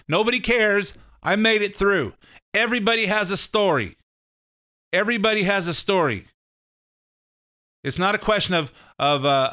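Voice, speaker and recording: Middle-aged American male talk host, smooth, bright voice, opinionated, loose and repetitive delivery. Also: on the high side. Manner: rambling, repeats phrases, acts out imagined quotes. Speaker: political commentator and show host. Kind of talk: casual opinionated political commentary.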